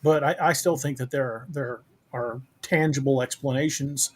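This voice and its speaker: A man's voice: mid-range voice, fairly even intonation, moderate pace. A man in a casual podcast chat who talks eagerly and stammers into restarts.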